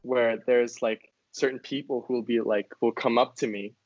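A man's voice: mid-range voice, fairly even intonation, normal speed, a little mellow, no room sound, lo-fi sound. Reflective young man from Hawaii. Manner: reflective, chatty, many likes and ums. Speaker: college student. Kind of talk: casual conversation.

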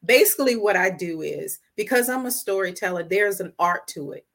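A woman's voice: medium pitch, animated, brisk, a bit bright. A woman guest on an interview podcast, talking earnestly and animatedly about her life and work as a storyteller. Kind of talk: casual interview talk.

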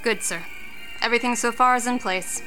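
A vampire boss's loyal assistant in an audio drama. Her voice is fairly high, with music playing behind it. She is businesslike, deferential and composed. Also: a little fast, bright, forceful tone, poor audio. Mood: composed, efficient. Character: efficient, loyal female assistant to a vampire boss.

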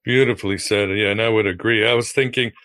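A man's voice: on the low side, fairly even intonation, fast, a bit bright, no room sound. A man hosting an interview, chatting in an agreeable way. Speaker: host. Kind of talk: casual interview talk.

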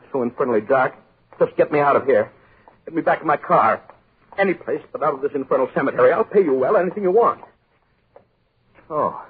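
A very husky-voiced, pompous rich man in a 1940s radio horror play. He blusters and demands, irritable and easily frightened, repeating himself in agitation. The old recording is muffled and lo-fi. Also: mid-range voice. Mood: agitated, demanding.

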